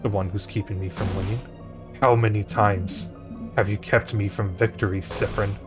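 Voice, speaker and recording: A man voicing a cruel, gloating villain king who talks slowly and quietly in threats. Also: lowish voice, fairly even intonation, close to an everyday voice. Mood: menacing. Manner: slow, hushed, threatening. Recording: music behind voice.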